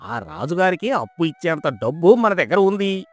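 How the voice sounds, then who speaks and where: A man's voice: high-pitched, animated, normal speed, creaky, no room sound. Man acting a sneering, swaggering rich merchant. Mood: boastful. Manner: boastful, sneering. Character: greedy, arrogant rich grain merchant, mocking and scornful. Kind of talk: dramatised folk tale storytelling.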